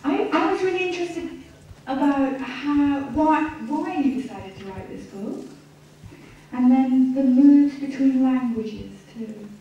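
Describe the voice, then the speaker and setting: High mellow lady moderator, eager questions, muffled and echoey from way back. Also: unhurried. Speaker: event moderator. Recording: dull, echoey, distant mic.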